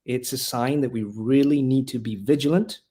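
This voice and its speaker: A man's voice: mid-range voice, moderate pace, mellow. A man from Honduras, a guest on a podcast interview who teaches rhetoric and speaks earnestly and with passion, often restarting his phrases. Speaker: guest, classical school leader and rhetoric teacher.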